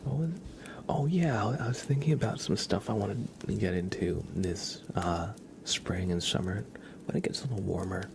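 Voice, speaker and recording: A man hosting an ASMR livestream, chatting casually in a soft, breathy, often whispered voice with slow, halting, rambling delivery. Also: deep voice. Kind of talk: ASMR, casual chat with viewers. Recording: clear background noise.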